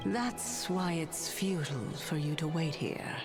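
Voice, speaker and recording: A woman's voice: deep voice, a little slow. A woman voicing a sly, sardonic, teasing female titan in a game cutscene. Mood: teasing, composed. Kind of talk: scripted game cutscene dialogue. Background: music.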